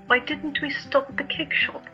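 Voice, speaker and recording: An actress playing a gentle, questioning young woman patient in an audio drama, her voice husky and breathy. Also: high voice, steady tone, normal speed, muffled recording, soft background music. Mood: puzzled, curious.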